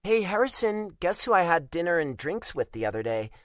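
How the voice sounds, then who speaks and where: Guy doing a teasing young sister for an audiobook, pitched up, sassy, dry recording. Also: very animated, fairly quick, fairly bright tone, no room sound. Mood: teasing, pointed. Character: teasing, sharp-tongued young adult sister.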